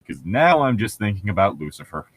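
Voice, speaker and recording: A man's voice: fairly low pitch, very animated, brisk, no echo. A man doing casual, mocking read-along commentary as a show host, jokey and profane as he rambles. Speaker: host of a comedic book-reading show.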